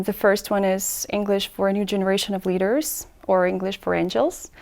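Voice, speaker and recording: Woman, gravelly smooth voice. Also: medium pitch, a little mellow. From Ukraine. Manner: measured, lays out points in ordered lists. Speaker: English tutor being interviewed. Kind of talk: interview answers.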